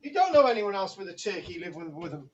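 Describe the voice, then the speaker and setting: A woman's voice: on the low side, big pitch swings, fast talker, husky, highs cut off. A woman hosting a casual group chat. She teases the others and often restarts her sentences. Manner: stammering restarts, teasing, chatty.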